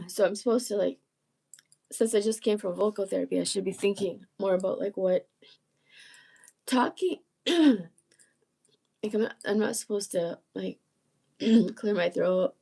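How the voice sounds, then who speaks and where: A woman with a gravelly, husky, croaky voice, strained after illness, chatting casually on a live stream and often clearing her throat. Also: medium pitch, measured pace, long pauses, warm tone. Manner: rambling, self-interrupting, frequent throat clearing. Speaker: musician and channel host.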